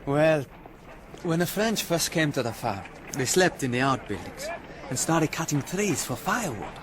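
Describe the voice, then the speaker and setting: An actor playing an earnest, plain-spoken young Spanish farm lad in a radio historical drama. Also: male voice, on the high side, big pitch swings, normal speed. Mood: earnest, friendly. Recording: noisy radio recording with background ambience.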